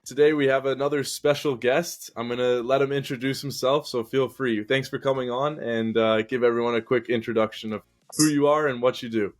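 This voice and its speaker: Male podcast host, mid-range pitch, relaxed plain interviewer delivery. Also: brisk. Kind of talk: casual interview hosting.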